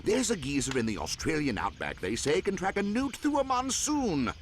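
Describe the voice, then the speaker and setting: A man's voice: fairly high pitch, huge pitch swings, moderate pace, background noise. A man playing a hearty, effusive British hunting guide as dialogue in a video game. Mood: chatty, earnest. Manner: effusive, exclamatory, old-fashioned chummy phrasing.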